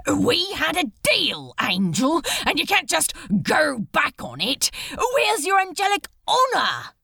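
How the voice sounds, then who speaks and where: A woman's voice: sits high, wildly swooping pitch, slow, vocal fry, sharp tone, no echo, clean studio sound, pitched above the performer's own voice, full character voice. A woman voicing a defiant, fiercely devoted male demon in an audiobook, urgent and pleading with emotion. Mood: angry, desperate. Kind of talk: dramatic fan fiction audiobook dialogue.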